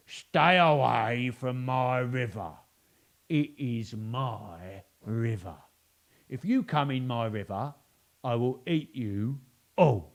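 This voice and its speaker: Man doing a storybook crocodile, selfish, bullying, slow booming threats, lively swings in pitch. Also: vocal fry. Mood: threatening.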